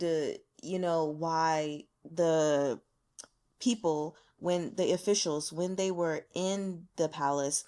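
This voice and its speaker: Casual, animated woman chatting about books. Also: fairly low pitch. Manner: animated, slangy, giggly asides. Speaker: book reviewer hosting a reading vlog. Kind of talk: casual chatty book commentary with notes.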